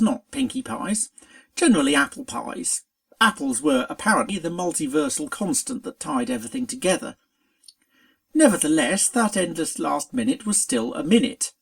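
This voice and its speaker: A narrator reading a scripted story aloud in an expressive, dramatic storytelling manner. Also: medium pitch, gravelly. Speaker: fan fiction reader.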